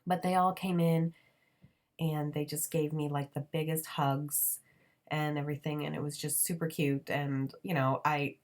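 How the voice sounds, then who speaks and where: Woman in her thirties, low voice, a little raspy from a cold. Rambling and chatty, narrating a craft process. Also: little pitch movement, measured pace, darkish tone. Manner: chatty, rambling, with frequent fillers and restarts. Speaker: scrapbooking vlogger.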